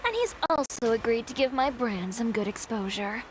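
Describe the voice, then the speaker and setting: A woman playing a calm, composed young fashion designer, in a video game. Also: fairly high pitch, moderate pace, slight background noise, close to an everyday voice, low-quality recording. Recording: game music behind.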